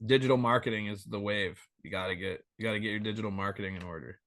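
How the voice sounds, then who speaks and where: A man in his thirties joining a podcast over a remote call as a guest, talking in an animated, rambling way and swearing freely. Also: on the low side, fast talker, dry recording. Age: 30s. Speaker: band member guest. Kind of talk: casual interview chat.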